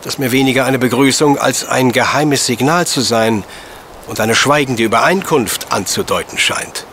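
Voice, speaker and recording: An actor playing a courteous, coolly logical professor detective in a radio play, speaking in ornate, measured phrases. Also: male voice, mid-range voice, fairly bright tone, a little hiss or hum, lightly acted. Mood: shrewd. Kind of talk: German radio play acting.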